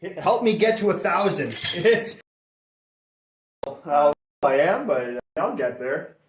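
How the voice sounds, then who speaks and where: A man's voice: fairly high pitch, lively intonation, takes it slowly, a little hiss or hum. A middle-aged man from Canada chatting casually on a livestream as host, upbeat and rambling, correcting himself as he goes. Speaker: channel host. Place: indoors near a fireplace. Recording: roomy echo, mic far off.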